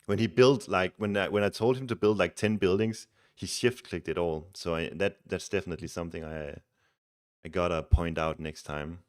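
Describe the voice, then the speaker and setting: A Danish-accented man with a deep voice talks loosely through a live stream, explaining strategy as he plays. He is relaxed and chatty and often restarts his words. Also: normal speed. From Denmark. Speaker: streamer and game coach. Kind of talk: casual live-stream chat and game commentary.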